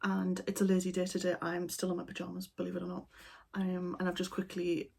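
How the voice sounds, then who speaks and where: Breathy woman chatting casually. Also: lowish voice, brisk. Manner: chatty, rambling, relaxed. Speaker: art vlogger. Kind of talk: casual vlog chat. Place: at home indoors. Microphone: camera mic.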